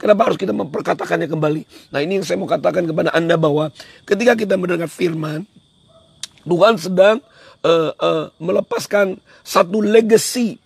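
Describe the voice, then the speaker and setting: A man with a hoarse voice, preaching a devotional in an emphatic, repetitive way. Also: high voice, fairly quick, no room sound. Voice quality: hoarse, husky. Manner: emphatic, repeats phrases for effect. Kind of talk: devotional sermon on a livestream, switching into English at times.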